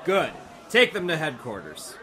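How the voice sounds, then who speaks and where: An actor playing a self-satisfied, commanding police commissioner in pompous 1930s radio style. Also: male voice, a little high, huge pitch swings, a little slow, bright, forceful tone, slightly rough, full character voice. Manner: pompous, authoritative. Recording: noisy track with background ambience.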